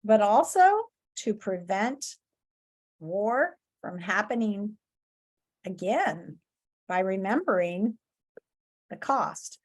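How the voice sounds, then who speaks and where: A woman's voice: medium pitch, expressive, slow, pauses often. A woman teacher reading a story aloud and giving lesson instructions to pupils, expressively and directly.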